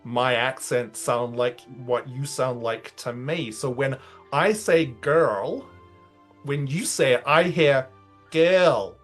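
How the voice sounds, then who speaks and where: A man's voice: mid-range voice, takes it slowly, a bit bright, faint music behind. Rambling hesitant man in character. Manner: rambling, hesitant, full of "I mean". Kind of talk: in-character tabletop roleplay.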